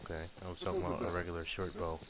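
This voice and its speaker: Low-voiced man rambling through game commentary. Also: monotone, very fast, heavy background noise. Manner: rambling, explanatory, thinking aloud. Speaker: gaming video host playing through a game. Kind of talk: casual gameplay commentary. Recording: game music under the voice. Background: fantasy game music and sounds.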